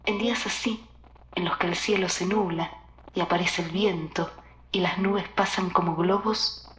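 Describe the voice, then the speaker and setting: A woman's voice: lowish voice, fairly even intonation, normal speed. A woman reading a poetic scripted narration for an experimental radio art piece. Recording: echoing voice with faint noise.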